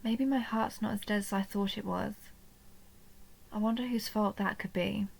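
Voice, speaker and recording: Woman playing a creaky, breathy, tender vampire countess. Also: medium pitch, steady tone, moderate pace. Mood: soothing, affectionate. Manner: soft, breathy, often near a whisper, coaxing. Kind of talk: intimate roleplay audio drama, addressed to the listener.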